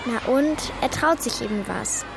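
A woman's voice: a little high, unhurried. A woman playing a thoughtful, loyal and slightly defensive schoolgirl in a German children's radio play. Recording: clear outdoor street noise behind.

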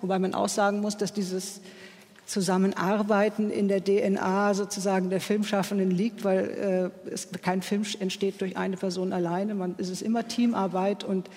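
A man from the film world speaks as a panel guest in a notably high voice. He talks earnestly and pauses often on fillers. His voice has a long reverb, as if recorded in a hall. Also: little pitch movement, a little mellow. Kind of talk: panel discussion.

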